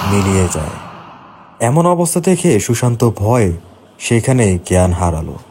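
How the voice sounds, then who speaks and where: A man with a lowish voice narrates a scripted ghost story. Soft music runs behind his narration.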